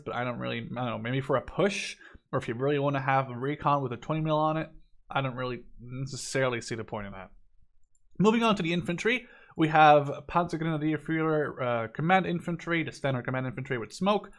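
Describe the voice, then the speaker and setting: A man's voice: mid-range voice, a little fast. A man in his twenties giving casual game commentary in a rambling, conversational, opinionated way. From Netherlands. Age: 20s. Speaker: gaming video commentator.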